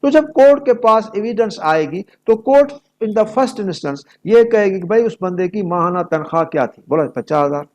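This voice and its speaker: A man's voice: high-pitched, expressive, moderate pace, few pauses, darkish tone. A man with a Pakistani accent giving an explanatory lecture, posing questions and answering them himself in a didactic way. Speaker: law lecturer. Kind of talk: explanatory lecture mixing Urdu and English.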